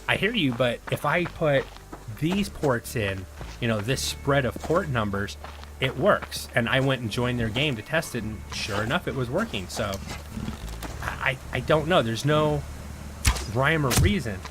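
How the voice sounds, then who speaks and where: A man giving rambling, chatty gameplay commentary to his viewers, his voice worn and going hoarse. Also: medium pitch, expressive, a little slow, background noise. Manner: rambling, chatty, talks to viewers while playing. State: losing his voice. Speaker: gaming video host.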